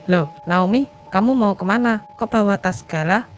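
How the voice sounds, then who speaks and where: Woman acting a gentle mother, music behind. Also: medium pitch, moderate pace, natural voice. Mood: concerned, accepting. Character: caring middle-aged mother of a student.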